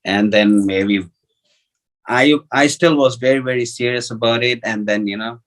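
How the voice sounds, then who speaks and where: A man in his thirties with a Nepali accent, a native Nepali speaker, telling a personal story informally, haltingly and with many fillers and repeats, as a devotee giving testimony. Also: mid-range voice, fairly bright tone. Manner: halting, repetitive, thinks aloud with many fillers.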